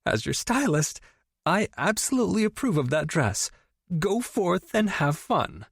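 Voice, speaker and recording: A shrill, bubbly young woman who can't contain her excitement. Her voice jumps high and swings wildly as she gushes, breathless and squealing. Close, dry audiobook sound. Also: a little slow, creaky, husky, dry recording, exaggerated voice. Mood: gushing. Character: gushing, excitable young woman best friend. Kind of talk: audiobook character voice.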